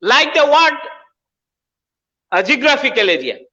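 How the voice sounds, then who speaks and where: A man's voice: very high voice, big pitch swings, measured pace, pushed and bright. A man from Bangladesh, explaining his views as a guest professor and repeating phrases for emphasis. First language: Bengali. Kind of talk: explanatory interview talk. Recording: dull lo-fi audio with long reverb.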